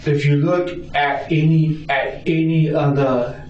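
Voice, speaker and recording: A distressed man gives a rambling account as a suspect under police questioning, stammering and repeating himself. His voice sits at a middle pitch. The recording is echoey and picked up from a distance, with some background noise. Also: level tone, takes it slowly, a little mellow. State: distressed, frightened. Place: indoor police interview room. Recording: roomy, distant, muffled, noisy interrogation recording.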